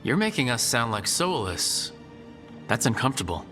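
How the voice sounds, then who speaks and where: An actor playing a wry, easygoing young hero in a fantasy video game, with a casual delivery. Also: male voice, medium pitch, big pitch swings, normal speed, bright, forceful tone. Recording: long reverb, music behind.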